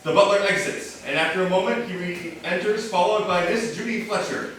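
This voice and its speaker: A man's voice: sits high, normal speed, a bit bright. A man narrating stage directions from a script at a staged reading. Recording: very echoey, distant mic, noisy background.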